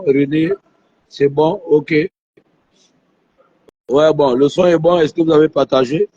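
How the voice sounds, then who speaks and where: Hoarse man with a flu. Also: a little high, dark tone. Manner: talks to viewers, repeats himself. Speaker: live stream host. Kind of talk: live phone interview. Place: airport. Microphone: phone. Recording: muffled phone audio.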